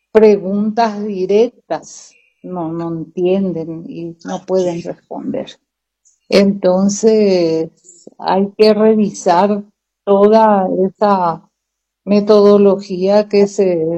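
On a dull, lo-fi recording, a Paraguayan woman speaks slowly in a mellow voice. She is an educator being interviewed, hesitant and deliberate, repeating phrases as she goes. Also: medium pitch. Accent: Paraguayan Spanish. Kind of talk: conversational interview. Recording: dull lo-fi muffled sound.

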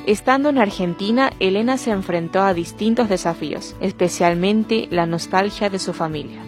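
A woman reading a scripted radio feature narration with a clear, composed delivery. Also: mid-range voice, normal speed, faint music behind.

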